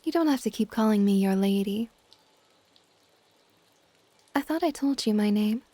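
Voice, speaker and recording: A woman playing a possessive, affectionate dragon goddess in an ASMR audio roleplay, with a soft, whispery, teasing and intimate delivery. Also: expressive, no echo, close to an everyday voice. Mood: sheepish, fond. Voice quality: soft, breathy whispering mixed with low-volume speech. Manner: doting, teasing, unhurried.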